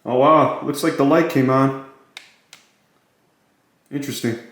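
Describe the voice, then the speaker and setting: A heavily echoing room surrounds this man's voice, which sits at an average pitch. He talks like a hands-on product reviewer, casual and matter-of-fact, naming one feature after another. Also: unhurried, fairly bright tone, a little hiss or hum. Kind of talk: casual hands-on gadget walkthrough. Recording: very echoey, roomy sound.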